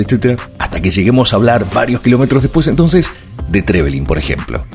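A man's voice: fairly low pitch, darkish tone. A middle-aged man, narrating a road-trip travel video and explaining local sights one after another. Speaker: travel vlogger.

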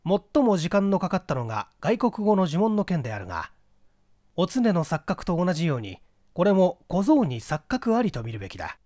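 Expressive male audiobook narrator. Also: high voice, normal speed, no room sound, clean studio sound. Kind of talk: scripted literary narration.

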